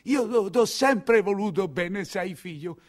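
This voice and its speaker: A man playing a sorrowful, sentimental hundred-year-old general in a theatrical radio monologue, halting and repetitive, with a Roman accent. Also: high-pitched, lively intonation, pitched above the performer's own voice. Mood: tender, insistent. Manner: halting, stammering, repeating himself.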